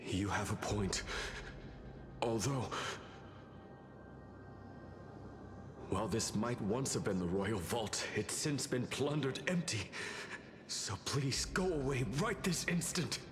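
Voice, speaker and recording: A man voicing a nervous, polite, excitable ghostly soul in a video game. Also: medium pitch, measured pace, noisy background, natural voice. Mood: panicked, wary. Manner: jittery, excitable. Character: nervous, polite male ghost soul guarding a plundered vault. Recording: echoey, with game music behind.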